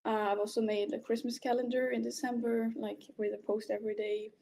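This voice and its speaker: A woman's voice: on the high side, level tone, a little fast, creaky, warm tone, a little hiss or hum. A woman in her twenties from French-speaking Belgium, a native French speaker, giving an informal prepared presentation as a candidate. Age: 20s.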